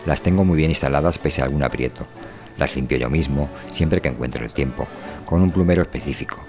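Low-voiced man narrating a wry literary monologue. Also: a little fast, over music. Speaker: voice performer reading an audio fiction. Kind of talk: scripted literary first-person narration.

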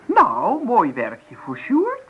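An actress playing a chatty, blunt young sister-in-law in a radio play, inquisitive and skeptical. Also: female voice, fairly high pitch, huge pitch swings, extremely slow, heavily acted. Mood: blunt, sympathetic. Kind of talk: Dutch radio play acting. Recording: tinny, slightly noisy old radio recording.

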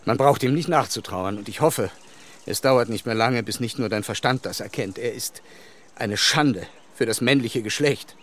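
An actor playing a reserved, upright and tender gentleman in a radio drama, speaking earnestly and haltingly. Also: mid-range voice, lightly acted. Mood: indignant, relieved. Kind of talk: German radio drama acting of a classic novel.

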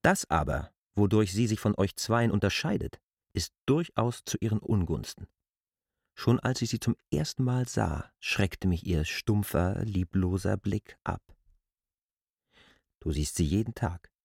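An argumentative, judgmental outsider in a radio play. The voice is low and very animated, recorded dry and close. He speaks in an insistent, probing way. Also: measured pace, vocal fry, husky, no room sound, full character voice. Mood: reproachful. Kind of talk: radio drama acting.

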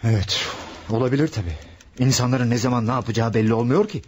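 A man playing a brisk police sergeant. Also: medium pitch, slight background noise, lightly acted. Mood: questioning. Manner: brisk, questioning. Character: brisk middle-aged male police sergeant, skeptical. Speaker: radio drama actor. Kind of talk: vintage radio drama acting.

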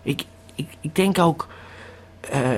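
A man's voice: fairly high pitch, slow pace, breathy edge. A man playing a rambling male tourist who is tripping on a pill, stammering and repeating his words in a radio drama monologue. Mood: rambling, uneasy.

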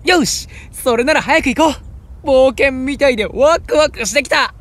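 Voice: high voice, normal speed, a bit bright. An actor voicing a cheerful, carefree younger brother with easygoing, boyish casual speech in an anime-style audio drama. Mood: cheerful, excited.

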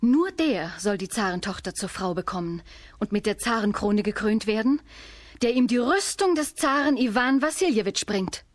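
A woman's voice: fairly high pitch, lively intonation, normal speed, close to an everyday voice. An actress playing a tsar's young daughter in a children's radio play, proud and formal in her delivery. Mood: cold, defiant. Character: beautiful young tsar's daughter, patient but despairing, proud.

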